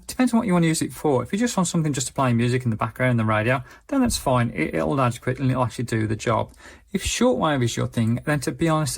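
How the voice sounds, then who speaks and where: A man's voice: medium pitch, creaky. A man giving a casual, unscripted product review, explaining things in a chatty, hedged way. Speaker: gadget reviewer, channel host.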